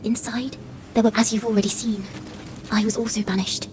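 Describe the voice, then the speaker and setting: A woman's voice: fairly high pitch, flat delivery, fairly quick, noisy background, lightly acted. An actress playing an earnest young songwriter in a video game, confiding her family's secret in scripted monologue. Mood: wistful.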